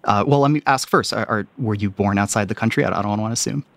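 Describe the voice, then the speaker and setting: A man guest-hosting a call-in radio show, gently asking callers questions. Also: fairly low pitch, fast talker, creaky, no room sound.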